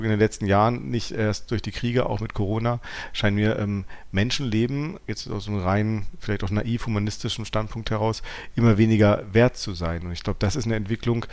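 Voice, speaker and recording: A man co-hosting an intellectual podcast, reflective and searching as he rephrases his thoughts. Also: lowish voice. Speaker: podcast co-host.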